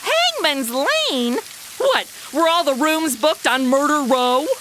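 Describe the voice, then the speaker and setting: A woman's voice: high voice, very animated, slow, bright, forceful tone, exaggerated voice. A woman playing a brash, sarcastic, whiny modern American sidekick in a comedy audio drama, full of slang. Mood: alarmed, sarcastic. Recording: noisy, rain-like hiss behind.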